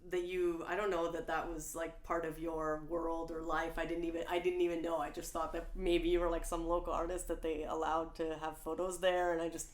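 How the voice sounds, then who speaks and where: A woman's voice: low voice, level tone, fairly quick, few pauses. A woman hosting a podcast, chatting casually and rambling with lots of "like" and restarts. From Langley, Canada. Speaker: podcast host. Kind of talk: casual interview chat. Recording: roomy echo.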